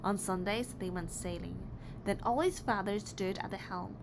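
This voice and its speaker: A woman reading a children's picture book aloud in an expressive, deliberate storytelling way. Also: medium pitch. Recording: clearly noisy background.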